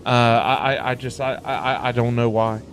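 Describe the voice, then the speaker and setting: A man livestreaming a game, reacting and chatting casually in an animated, exclamatory way, often repeating words. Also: medium pitch, normal speed, a little hiss or hum.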